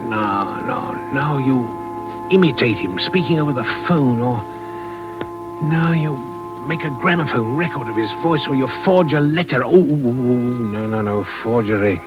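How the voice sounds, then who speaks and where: A man's voice: medium pitch, expressive, normal speed, natural voice. An actor playing an anxious man fretting over a crime, with hesitant, repetitive muttering in vintage radio drama. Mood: nervous pondering. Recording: dull old radio recording with music behind.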